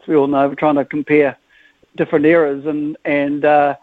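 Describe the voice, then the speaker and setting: A man in his fifties with a New Zealand accent, a football supporter talking enthusiastically in a radio phone interview, full of halting restarts. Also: on the high side, little pitch movement, no room sound. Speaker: football supporters club guest. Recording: tinny phone line.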